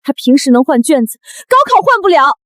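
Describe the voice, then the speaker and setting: A wronged teenage daughter in a short drama, pitched high and swinging widely. She speaks with bitter, defiant pride and a melodramatic edge. The sound is dry and close. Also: sing-song, fairly quick, a bit bright, no echo. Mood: cold, defiant. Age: young adult. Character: wronged eighteen-year-old daughter, bitter, defiant, fiercely proud.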